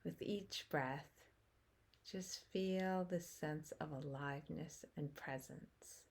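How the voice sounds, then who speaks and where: A woman guiding a meditation as a teacher, speaking in short phrases with long pauses and hushed endings. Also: low voice, creaky, breathy edge. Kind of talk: guided meditation, slow spoken instruction.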